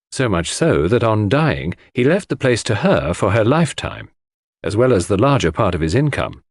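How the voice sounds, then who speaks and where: A man's voice: fairly low pitch, no echo, clean studio sound. A man reading a scripted first-person literary narration as an audiobook narrator.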